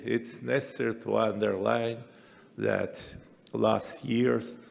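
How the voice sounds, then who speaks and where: A man giving a formal ceremonial speech as a delegate, in deliberate, broken phrases with non-native grammar. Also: lowish voice, gravelly, a little mellow, in a large hall. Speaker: parliamentary assembly delegate. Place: large assembly hall. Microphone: podium microphone.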